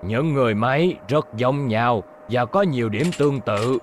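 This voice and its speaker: A man's voice: mid-range voice, moderate pace, a little hiss or hum, natural voice. A man dubbing an elderly, authoritative and exasperated professor in a cartoon, speaking emphatically. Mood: thoughtful, explaining. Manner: stern, emphatic, explanatory.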